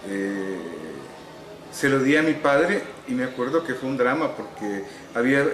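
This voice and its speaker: A Salvadoran man in his sixties tells stories of his past in an unhurried, wry tone, filling gaps with "eh". He sounds like he is sitting in a hard, echoey room, and a steady hum carries under his voice throughout. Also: mid-range voice. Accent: Salvadoran Spanish. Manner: unhurried, wry, frequent "eh" pauses. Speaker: novelist interviewee. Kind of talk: relaxed reminiscing interview answers.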